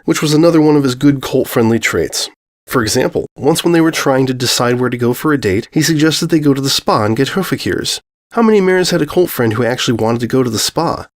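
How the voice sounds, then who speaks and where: A man reading a scripted story aloud as an expressive narrator. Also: mid-range voice. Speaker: fan fiction narrator.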